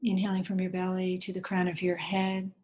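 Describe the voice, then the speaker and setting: A woman with a slightly low voice guides a meditation. She speaks gently and slowly in short, soothing phrases. Long pauses separate her instructions. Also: completely flat delivery, highs cut off, a little hiss or hum.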